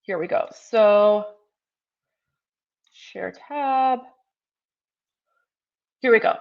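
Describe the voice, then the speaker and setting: A woman's voice: medium pitch, extremely slow, gravelly, dull audio. A woman in her forties hosting her own show, talking passionately and emphatically in casual commentary with many fillers and repetitions. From Iran. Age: 40s. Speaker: show host.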